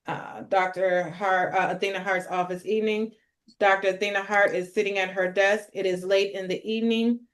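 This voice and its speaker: A woman's voice: mid-range voice, steady tone, moderate pace. A woman reading out scene descriptions as the narrator of a script table read. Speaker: narrator reading stage directions.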